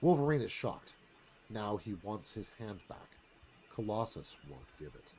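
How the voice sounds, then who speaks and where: A man's voice: fairly low pitch, very animated, a little slow, darkish tone, a little hiss or hum. A man narrating stage directions in a dramatic, storytelling screenplay read.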